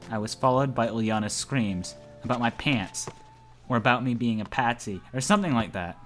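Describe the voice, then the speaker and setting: A man's voice: mid-range voice, moderate pace, a bit bright, faint music behind. Man reading a game story aloud. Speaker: gaming video player reading aloud. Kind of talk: visual novel narration read aloud, playful.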